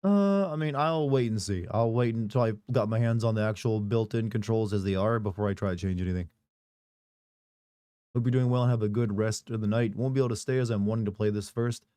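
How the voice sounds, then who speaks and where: A man with a hoarse, recovering voice, casually chatting on a live stream and reading viewer messages aloud with rambling, joking asides. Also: on the low side, fairly quick, mellow, dry recording, studio quality.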